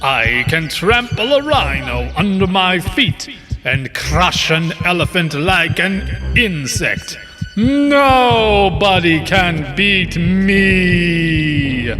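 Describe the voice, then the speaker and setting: A man's voice: high voice, lively intonation, drawn-out words, full character voice. A man playing a boastful intruder who poses as an invincible warrior in a children's bedtime story. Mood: boastful, menacing. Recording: long hall reverb, music bed.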